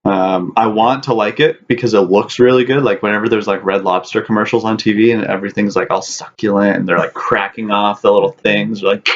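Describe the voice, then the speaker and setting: A man's voice: lowish voice, steady tone, brisk, few pauses, highs cut off, slight background noise. A man co-hosting a casual chat, answering questions in a laid-back, joking way.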